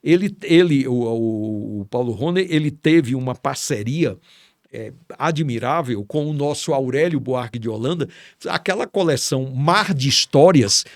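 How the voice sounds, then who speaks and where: A man's voice: mid-range voice, animated, measured pace, no echo, clean studio sound. A middle-aged man from Ceará, Brazil, a writer telling stories with enthusiasm and admiration as a guest in an interview. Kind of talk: storytelling in a casual interview.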